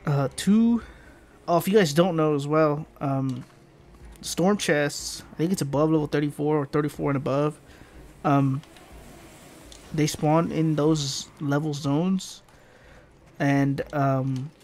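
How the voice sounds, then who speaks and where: A man's voice: fairly high pitch, a little mellow. Congested nasal young man narrating gameplay. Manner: rambling, filler-heavy explaining. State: congested from allergies. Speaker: gaming channel host. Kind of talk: casual gameplay commentary. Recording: noisy home recording.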